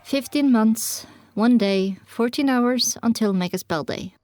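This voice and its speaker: A woman's voice: a little high, measured pace, darkish tone, soft background music. A woman reading scripted first-person story narration as the narrator of an audio drama.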